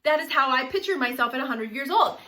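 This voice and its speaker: A woman of about forty chats straight to her viewers in a lively, animated way, often breaking into laughter. Her voice sits high and sounds bright and forward. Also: fast. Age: 40s. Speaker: vlogger. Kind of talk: casual talk to camera.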